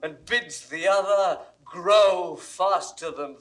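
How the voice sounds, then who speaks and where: Bright-voiced, earnest noble counsellor. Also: male voice, high-pitched. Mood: earnest, persuasive. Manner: measured, declamatory, persuasive. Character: loyal, earnest male lord and counsellor to a prince. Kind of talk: classical Shakespearean stage acting, 1960s audio recording.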